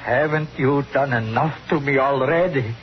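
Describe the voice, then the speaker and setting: A man's voice: medium pitch, moderate pace, close to an everyday voice. Male actor playing a grieving hotel man, weary, stern, conflicted, 1950s radio drama, dull noisy recording. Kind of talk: 1950s radio thriller acting.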